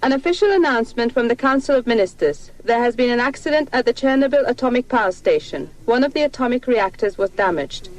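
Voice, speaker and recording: A woman's voice: a little high, animated, quick pace, few pauses, poor audio. A woman reading an official news statement in a formal announcer's style. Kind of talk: formal translated bulletin reading. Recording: faint background noise.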